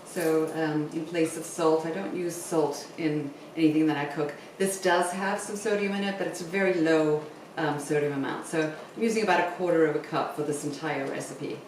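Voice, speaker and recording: A woman's voice: low-pitched, fairly even intonation, moderate pace. A middle-aged woman from Zimbabwe hosting a cooking demonstration, explaining casually and instructively as she works. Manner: instructive, talking while cooking. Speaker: home cooking show host. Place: home kitchen, indoors. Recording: very echoey kitchen, distant mic, faint noise.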